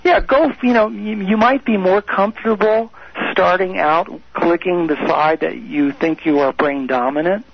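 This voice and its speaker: A man's voice: high voice, normal speed, creaky, slightly rough. A man speaking as a guest on a radio call-in show, coaching listeners in an emphatic, repetitive way. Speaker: guest expert on the brain. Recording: dull lo-fi radio audio.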